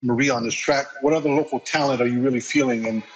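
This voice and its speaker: A man's voice: medium pitch, steady tone, fast talker, slight background noise, rough recording. Conversational male radio interviewer. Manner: conversational, leading questions. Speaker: radio show host.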